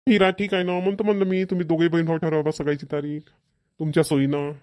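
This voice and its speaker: A man's voice: a little high, level tone, fast talker, warm tone, no room sound, clean studio sound, natural voice. A man voicing a practical, insistent middle-aged father in a cartoon family comedy, with a Varhadi Marathi accent. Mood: matter-of-fact. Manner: repetitive, matter-of-fact persuading.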